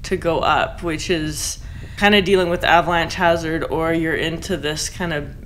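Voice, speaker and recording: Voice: medium pitch, fairly even intonation, normal speed, a bit bright, a little hiss or hum, poor audio. An expedition member plainly describing the logistics of a trip in a documentary interview.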